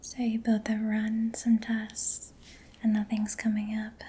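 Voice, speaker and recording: A woman speaking in a breathy, mostly whispered voice, gentle, unhurried and reassuring, in a soft-spoken ASMR roleplay as a caring technician. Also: a little high, monotone.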